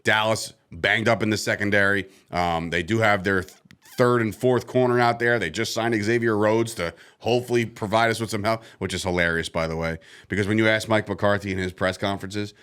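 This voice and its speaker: Loud, lower-voiced male co-host. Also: a little fast, smooth. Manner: loud, laughs often, excitable. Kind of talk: casual sports chat.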